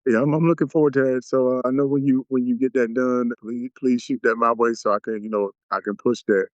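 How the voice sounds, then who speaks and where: A man hosting a podcast interview, supportive and halting, with many fillers and restarts. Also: mid-range voice, steady tone, no room sound. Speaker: podcast host. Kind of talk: casual interview chat. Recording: dull, dry close-mic audio.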